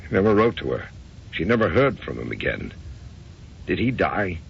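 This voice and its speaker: Very deep, gravelly troubled American husband. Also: male voice, very animated, normal speed, heavily acted. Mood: anxious, hesitant. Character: troubled, insistent remarried husband and narrator. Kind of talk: old-time radio drama acting with narration. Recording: dull, noisy old radio recording.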